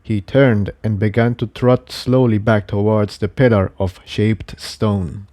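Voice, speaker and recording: A man's voice: lowish voice, steady tone, a little slow, warm tone. A man narrating an adventure story from a script. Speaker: narrator reading fan fiction.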